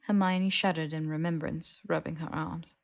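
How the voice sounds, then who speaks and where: A woman's voice: low-pitched, steady tone, moderate pace, gravelly. A woman narrating a piece of fiction as a scripted audiobook reading.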